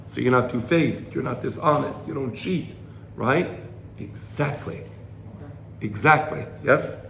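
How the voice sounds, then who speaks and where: A slightly hoarse man giving an informal religious lecture to a study group, explaining and checking in with rhetorical questions. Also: medium pitch, measured pace, a bit bright. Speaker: Torah teacher. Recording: roomy echo, faint background noise.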